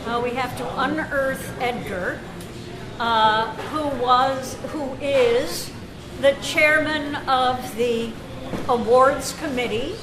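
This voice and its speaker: A woman hosting an informal club meeting, making halting, unscripted announcements with joking asides, picked up by a camera microphone. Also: high voice, a crowd in the background. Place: indoor club meeting room. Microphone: camera microphone at a distance. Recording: echoey room, clear background noise, camera microphone.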